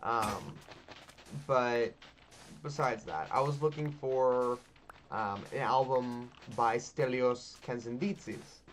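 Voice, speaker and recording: A man's voice: mid-range voice, slow pace, slight background noise. A man, chatting loosely over gameplay, full of ums, likes and casual swearing. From Texas. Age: 20s. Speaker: gaming channel host.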